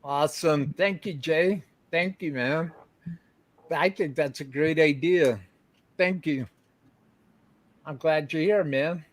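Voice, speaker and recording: Slow-talking upbeat livestream host man. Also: on the high side, no echo. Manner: upbeat, reads out chat messages, laughs often. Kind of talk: casual live-stream interview chat.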